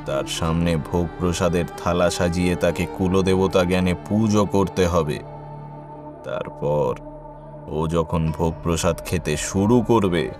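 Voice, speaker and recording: A man playing a sinister, coldly commanding family priest in a horror audio story, speaking in a solemn, measured way. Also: sits low. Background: music.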